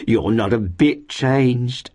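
A man voicing a bony, effusively affectionate landlady in a storybook reading, gushing and exclaiming in drawn-out phrases. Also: medium pitch, darkish tone, pitched above the performer's own voice, close to an everyday voice. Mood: fond flattery.

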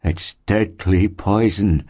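A man voicing a sick, worn-out settler in an audiobook, anxious and gloomy, with faint breath and slow, labored, grim speech. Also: sits low, mellow, husky, pitched above the performer's own voice, exaggerated voice. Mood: grim warning.

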